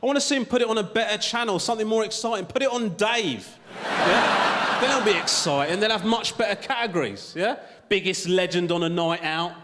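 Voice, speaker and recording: A man's voice: high voice, a little fast, long reverb tail, very noisy. A male stand-up comedian doing a conversational routine on a TV studio stage in front of a live audience, often tagging his lines with "right?".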